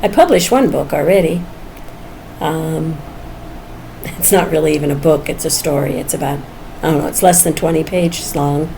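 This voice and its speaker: A woman's voice: sits low, moderate pace, vocal fry, pauses often, warm tone. A woman of almost seventy talking casually and candidly in a personal vlog, musing as she goes. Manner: candid, musing, chuckles often. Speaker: vlogger. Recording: noisy background ambience.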